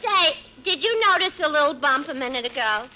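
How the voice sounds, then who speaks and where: An actress playing a cute, blunt telephone operator out on a date in an old-time radio comedy, answering in short deadpan replies. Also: female voice, high voice, a little fast, exaggerated voice. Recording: noisy old radio broadcast.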